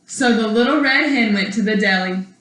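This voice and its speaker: A woman's voice: fairly high pitch, normal speed. A woman schoolteacher reading a children's storybook aloud expressively, as if to her young students. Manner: expressive, asks young listeners questions. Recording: echoey room.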